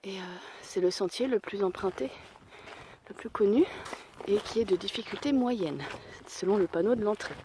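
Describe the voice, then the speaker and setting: Hoarse young woman vlogging on a hike. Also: mid-range voice, moderate pace, dark tone, a little hiss or hum. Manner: chatty and self-deprecating, thinking aloud. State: hoarse voice from a cold; out of breath. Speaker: hiker vlogging a mountain trail. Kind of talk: casual spoken travel vlog. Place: outdoors on a mountain trail.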